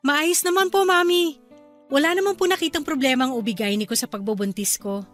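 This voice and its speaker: A woman's voice: sits high, brisk, faint music behind, natural voice. A woman playing a polite, deferential young daughter-in-law in a Filipino radio drama, speaking meekly with a Filipino accent. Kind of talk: Tagalog radio drama acting.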